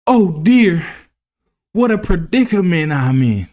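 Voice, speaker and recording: A man voicing a carefree, mocking grasshopper in a children's storybook, with a teasing, drawn-out delivery. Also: high-pitched, sing-song, darkish tone, pitched above the performer's own voice, heavily acted. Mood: pleading, miserable. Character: carefree, mocking young male grasshopper who loves play. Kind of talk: children's storybook read-aloud.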